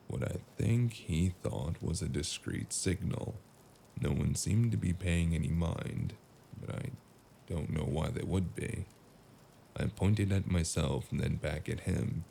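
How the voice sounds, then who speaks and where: Man acting a very deep, gravelly noir stranger. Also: roomy sound, a little hiss or hum, exaggerated voice. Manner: deadpan, dry, unhurried. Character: weary, wry noir stranger narrating his investigation. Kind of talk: cyberpunk noir narration and dialogue.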